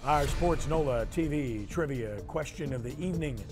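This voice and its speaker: A man's voice: mid-range voice, normal speed, over music. A man hosting a sports talk show, presenting and discussing in a broadcast style. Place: live remote broadcast from a local venue.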